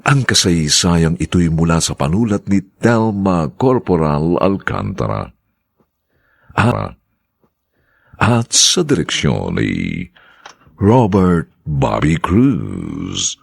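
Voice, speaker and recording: A man announcing cast and credits for a radio drama in a formal, measured way. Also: low voice, big pitch swings, dry recording. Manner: slow, gravelly, measured delivery.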